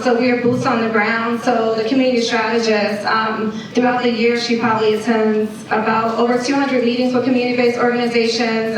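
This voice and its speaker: High, even-toned woman in echoey hall. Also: recorded from a distance. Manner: steady, explanatory, frequent ums. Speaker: community health manager, panelist. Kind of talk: panel discussion. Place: conference hall.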